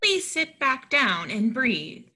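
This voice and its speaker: A woman's voice: fairly high pitch, sing-song, slow pace, bright, forceful tone, full character voice. A woman voicing an alarmist computerized home monitor that announces insistently in an online play reading.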